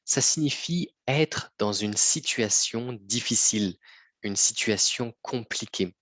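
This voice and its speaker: A man teaching a slow, patient lesson to learners, repeating phrases and often checking that they follow. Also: lowish voice, fairly bright tone, no room sound. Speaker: French teacher.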